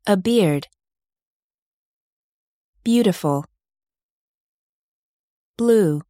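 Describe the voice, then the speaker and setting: A woman slowly reading single vocabulary words aloud for a language course, one at a time. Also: mid-range voice, darkish tone, no echo, studio quality. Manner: very slow, deliberate word-by-word reading.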